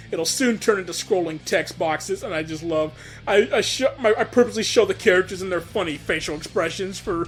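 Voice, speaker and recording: Higher-voiced man commentating over music. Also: a bit bright. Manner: stammers and repeats words. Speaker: comic dub director giving audio commentary. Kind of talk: casual excited commentary.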